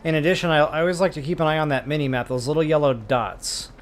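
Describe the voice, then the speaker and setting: Slightly high-voiced chatty gamer. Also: male voice, quick pace. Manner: chatty, informal, rambling. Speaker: gameplay video host. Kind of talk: casual walkthrough commentary.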